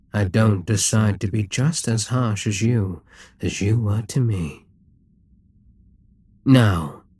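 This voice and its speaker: A man playing a dominant, gloating Demon King in an intimate ASMR roleplay, smug and coaxing, with a breathy, husky voice that often drops toward a whisper. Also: on the low side, dark tone, dry recording, clean studio sound, exaggerated voice. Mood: smug, amused, then coolly triumphant. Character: dominant, gloating male Demon King toying with a captive hero.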